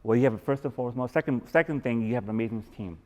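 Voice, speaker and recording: Male podcast host, mid pitch, big formal welcome then earnest questions. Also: racing, dark tone. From Pakistan. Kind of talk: podcast interview. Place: guest's house.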